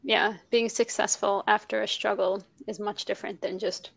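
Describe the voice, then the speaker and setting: A woman's voice: medium pitch, fairly even intonation, normal speed, gravelly, fairly bright tone, husky. A woman hosting an interview, supportive and affirming. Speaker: podcast host. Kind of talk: conversational interview.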